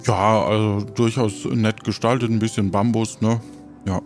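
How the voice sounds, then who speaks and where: A man's voice: on the low side, steady tone, normal speed, faint music behind. A man narrating an improvised adventure as game master in casual, conversational speech.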